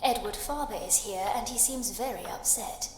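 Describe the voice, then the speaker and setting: A woman playing a caring, gently worried Victorian wife in a ghost story game, speaking in a proper, affectionate manner. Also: a little high, moderate pace, lightly acted. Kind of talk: video game voice acting. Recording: echoey long reverb with music. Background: music.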